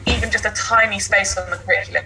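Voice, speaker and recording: A woman's voice: medium pitch, steady tone, fast, pushed and bright, soft background music. A woman speaking emphatically and persuasively as a teacher guest in a casual podcast discussion. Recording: faint background noise.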